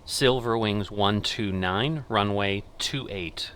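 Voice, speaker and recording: A middle-aged man with a low, bright voice talks slowly through a game. He thinks aloud casually and reads out controller commands, with faint noise behind him. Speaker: gaming channel host playing air traffic controller. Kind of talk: casual gameplay commentary with radio commands.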